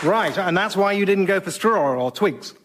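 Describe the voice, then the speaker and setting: A man's voice: high voice, expressive, moderate pace, a loud crowd, very noisy. A man with a British accent playing a polite, hesitant character in a radio comedy sketch. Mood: polite, inquiring.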